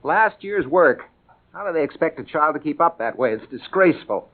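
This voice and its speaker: Animated, exasperated radio-drama father. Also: on the high side, normal speed. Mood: indignant. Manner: articulate, opinionated, wryly grandiose. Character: exasperated lawyer father, indignant, wry, theatrical domestic narrator. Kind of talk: old-time radio comedy-drama acting. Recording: muffled vintage radio recording with music.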